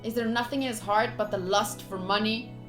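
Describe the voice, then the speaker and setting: A woman's voice: medium pitch, a little fast, over music. A young woman doing playthrough commentary, reading game text aloud expressively with chatty asides. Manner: expressive, chatty asides between read narration. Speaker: game streamer.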